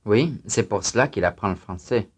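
Lowish male voice answering language drills, careful full sentences. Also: expressive, moderate pace, slightly rough. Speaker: student voice and exercise announcer. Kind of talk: scripted language drill responses.

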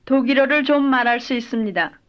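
A woman giving careful, measured full-sentence answers as the model speaker in a recorded language drill. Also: high-pitched. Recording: dull lo-fi old lesson tape.

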